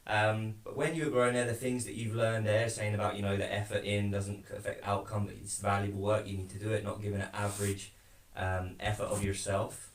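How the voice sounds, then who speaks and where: A man's voice: fairly low pitch, little pitch movement, fairly quick. A man from England with an English accent, hosting a casual conversational podcast interview. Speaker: podcast host. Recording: echoey room, distant from mic.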